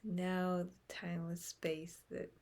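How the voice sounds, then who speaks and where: Slow, low-voiced woman guiding meditation. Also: completely flat delivery. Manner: gentle, soothing, with soft breathy phrase endings. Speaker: meditation guide. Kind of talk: guided meditation.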